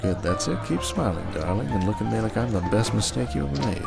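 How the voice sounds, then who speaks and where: A man's voice: fairly low pitch, expressive, fast, vocal fry. Man acting a suave, showy conman over music. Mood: sly, conspiratorial. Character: smooth, showy male conman posing as high-rolling heir. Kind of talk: scripted audio roleplay acting. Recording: loud music under the voice.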